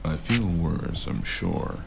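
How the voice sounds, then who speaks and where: Exhausted stubborn mercenary played by a man: extremely low, gravelly fry, flippant weariness, audio drama. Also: huge pitch swings, slow, background noise, exaggerated voice. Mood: weary, sardonic. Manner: weary, flippant.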